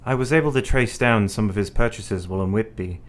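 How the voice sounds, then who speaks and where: A man acting an earnest, determined young Victorian husband in a radio play, with formal, measured period phrasing. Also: fairly low pitch, lightly acted. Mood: matter-of-fact.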